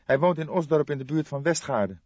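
A man's voice: a little high, lively intonation, brisk, darkish tone, husky, no echo, muffled recording, pitched above the performer's own voice. A man voicing a younger male police detective sidekick, nonchalant, eager and matter-of-fact, in an audiobook. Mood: nonchalant reporting.

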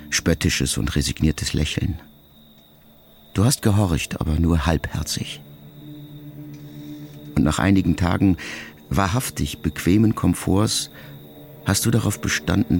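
An actor playing a wounded, reflective ageing son in an intimate radio drama monologue, speaking in a measured, gravelly voice. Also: male voice, low voice, a little mellow, faint music behind. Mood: reflective, troubled. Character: grieving ageing son addressing his dying mother, wounded, reflective.

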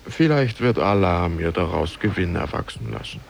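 A man's voice: low voice, sing-song, vocal fry, heavily acted. An actor playing a lazy, helpless young man in an old German radio play, groaning and drawing out his words. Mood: languid pleading. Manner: groaning, languid, drawn-out delivery. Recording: old radio play tape, faint hiss.

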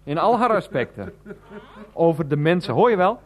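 A man playing a mild, earnest middle-aged nephew in a radio play, speaking politely, haltingly and a little defensively. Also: a little high, sing-song. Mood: earnest, explaining. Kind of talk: naturalistic radio play dialogue.

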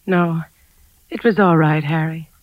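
Gentle wife on narrow old radio. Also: female voice, deep voice, unhurried, no room sound. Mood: gentle, sad. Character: gentle, sad, forgiving wife soothing her husband. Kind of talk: 1940s radio drama acting.

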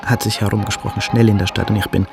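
An actor playing an anxious butcher's son in a radio drama, testifying haltingly in with inverted word order. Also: male voice, on the low side, little pitch movement, over loud music, close to an everyday voice. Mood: troubled recounting.

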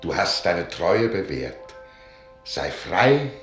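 An actor voicing a solemn fire spirit in the form of an old archivist, speaking slowly and gravely. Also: male voice, sits low, very animated, exaggerated voice. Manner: solemn, measured. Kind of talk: radio play acting. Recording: distant, echoing, with music.

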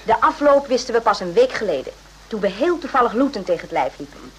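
A woman's voice: fairly high pitch, very animated, fast. A woman playing a spirited secretary who tells her tale coyly and teasingly in a radio drama. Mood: knowing. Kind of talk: conversational radio drama acting. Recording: hissy old radio recording.

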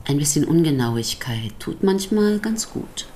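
An actress playing a sardonic, defiant pastor's wife in a radio drama, speaking with provocative, theatrical emphasis. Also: female voice, sits low, sing-song, unhurried, warm tone, a little hiss or hum. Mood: defiant, pointed. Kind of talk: German radio drama acting.

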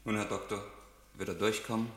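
Echoey, sharp-voiced police detective, a male actor. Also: lowish voice, steady tone, fairly quick, close to an everyday voice. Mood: businesslike. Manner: polite, matter-of-fact. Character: businesslike male police detective questioning a doctor. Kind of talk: German radio drama acting. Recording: echoey hall with long reverb.

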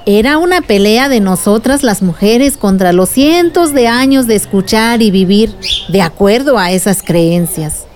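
A woman's voice: medium pitch, expressive, measured pace, darkish tone, a little hiss or hum, lightly acted. A woman playing a patient, wise village aunt who explains things in a radio drama. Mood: patient, earnest.

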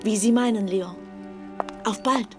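An actress playing a teasing, forward single woman with a breathy, husky voice in a radio play. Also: medium pitch, a little slow, music in the background, natural voice. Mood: playfully flirtatious. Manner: coquettish, playful.

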